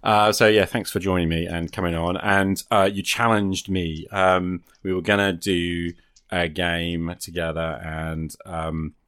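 A man's voice: deep voice, level tone, unhurried, a bit bright, clean tone, no room sound, studio quality. A man hosting a podcast, chatting in a casual, off-the-cuff way. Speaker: podcast host and player.

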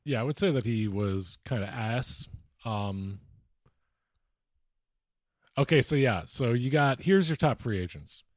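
A man's voice: medium pitch, lively intonation, unhurried, pauses often, dry recording. Casual man chatting sports, close dry sound. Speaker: podcast co-host.

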